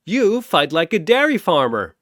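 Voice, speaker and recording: A man's voice: high voice, big pitch swings, moderate pace, a bit bright, no echo, clean studio sound, pitched above the performer's own voice. A man voicing a hapless young pirate, an indignant comic hero with a flustered, theatrical delivery. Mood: cheeky.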